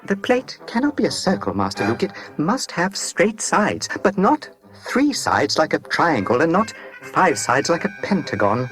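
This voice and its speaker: A prim, anxious golden robot butler, eager to help and formal in every phrase. The pitch swings in a lively way over a clear music bed, as in a children's audio storybook. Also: male voice, a little slow. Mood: flustered. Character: fussy, anxious golden male protocol droid, eager to help. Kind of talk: children's read-along audio story acting.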